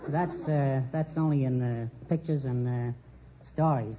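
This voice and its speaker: An older man from New York City answering as a quiz show contestant in an earnest, halting, formal way. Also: mid-range voice, a faint crowd. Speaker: contestant, retired Secret Service agent. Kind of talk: unscripted quiz show interview. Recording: muffled vintage radio with hiss.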